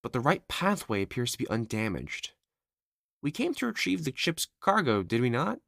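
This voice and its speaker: A woman's voice: very deep voice, sing-song, normal speed, gravelly, dry recording, studio quality, heavily acted. A woman playing a refined, cautious space princess in a sci-fi roleplay, with formal, courtly speech. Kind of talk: ASMR audio roleplay acting.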